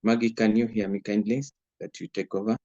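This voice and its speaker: A man's voice: on the low side, little pitch movement, brisk, dark tone, dry recording, lo-fi sound. A man moderating an online workshop on a video call, thanking speakers and introducing the next facilitator.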